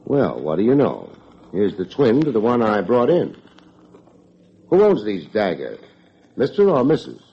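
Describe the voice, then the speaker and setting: Wry hard-boiled radio detective, low voice, lively delivery, wisecracks. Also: male voice, a little slow, long pauses, slight background noise, full character voice. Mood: brisk, sardonic. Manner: dry, sardonic, wordy. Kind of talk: old-time radio drama narration and dialogue. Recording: muffled old radio recording with music.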